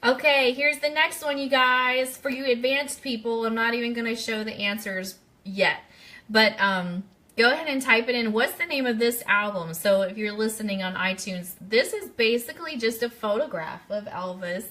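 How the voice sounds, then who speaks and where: A woman's voice: a little high, moderate pace, pushed and bright. A woman hosting a casual live-streamed radio quiz, chatty and speaking directly to her listeners. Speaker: radio show host.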